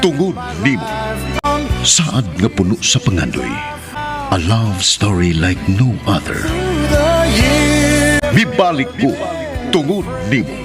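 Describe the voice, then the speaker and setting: A man's voice: on the low side, sing-song. A man announcing a radio drama's titles in a theatrical style. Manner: slow, theatrical. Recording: announcer over very loud music.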